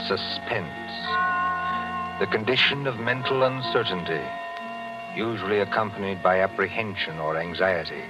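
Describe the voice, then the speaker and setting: A man narrating a mystery in a measured, ominous manner, in scripted 1940s radio narration on a muffled old broadcast. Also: low-pitched, loud music behind the voice. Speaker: narrator of the anthology.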